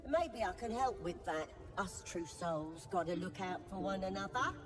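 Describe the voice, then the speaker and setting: A woman performs a zealous goblin priestess for a fantasy video game. She speaks in a sly, fervent, taunting way. Her voice rings with long reverb, and music plays clearly behind it. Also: medium pitch, expressive, normal speed, natural voice. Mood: conspiratorial, coaxing.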